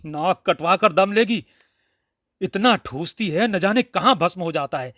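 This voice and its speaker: A man's voice: sits high, animated, a little slow, a bit bright, no room sound, studio quality, voice pushed up. A man voicing an angry village housewife, harsh and taunting as in a storybook reading. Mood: furious, scornful. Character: angry scolding village housewife berating an old woman.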